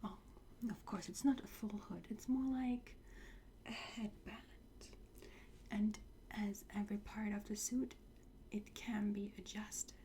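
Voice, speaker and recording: A woman whispers in a soft, dark and breathy tone, in the style of an ASMR roleplay. She plays a courteous attendant, giving gentle, polite instructions. There is a faint hiss in the recording. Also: mid-range voice. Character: courteous attendant at a time travel suit fitting.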